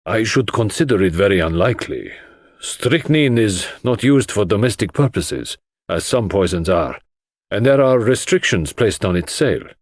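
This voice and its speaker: A man voicing an authoritative London toxicologist giving formal, measured evidence in an audiobook. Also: on the low side, expressive, no room sound, studio quality. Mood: measured, certain.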